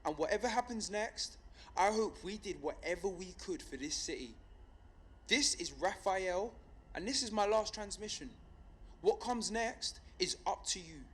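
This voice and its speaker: An earnest male rebel broadcaster delivers a dramatic radio address. He is solemn and deliberate, building his call to revolt through measured repetition. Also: fairly high pitch, fairly bright tone, slightly rough. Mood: solemn farewell. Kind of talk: dramatic scripted radio monologue.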